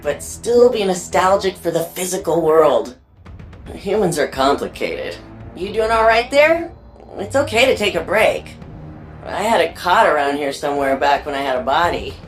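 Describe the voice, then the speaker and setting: A woman playing a chatty, curious and warm archivist in a sci-fi video game, with an informal, playful, flirty way of talking. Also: fairly low pitch. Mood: friendly, casual. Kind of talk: naturalistic video game dialogue.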